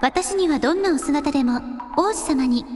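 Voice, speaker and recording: A woman's voice playing a gentle, devoted young shrine maiden in a radio drama, speaking very formally. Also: high-pitched, lively intonation, moderate pace. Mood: gentle, reassuring. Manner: very polite, formal speech. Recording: long hall reverb with music.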